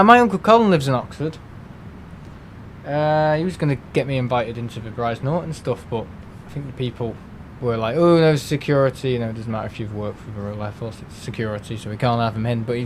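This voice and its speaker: A man's voice: medium pitch, brisk, a little hiss or hum. A man with a Merseyside accent, hosting a livestream and chatting casually with viewers, rambling with lots of fillers and restarts. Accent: Merseyside English. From England. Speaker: livestream host. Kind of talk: casual chat with live viewers.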